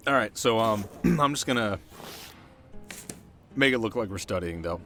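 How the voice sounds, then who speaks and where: A man playing a cocky but soft-hearted teenage delinquent boy in an ASMR roleplay, talking straight to the listener in casual slang. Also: fairly low pitch, lively intonation, moderate pace, soft background music. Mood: friendly, proud. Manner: slangy, casual, stumbling when flustered.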